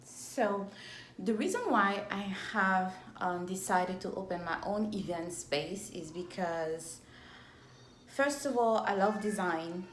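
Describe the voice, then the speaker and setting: A woman in her thirties from Cameroon whose first language is French. She speaks English with a strong Cameroonian French accent, slowly and deliberately, in a casual vlog recorded on her phone. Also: medium pitch, a little hiss or hum. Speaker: event planner and entrepreneur. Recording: echoey room on a phone.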